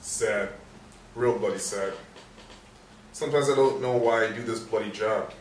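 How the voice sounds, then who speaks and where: An actor playing a weary, cynical police sergeant with a blunt manner in a stage play reading. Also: male voice, fairly low pitch, measured pace, lightly acted. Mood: weary, bitter. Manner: blunt, jaded. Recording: roomy distant stage recording, faint noise.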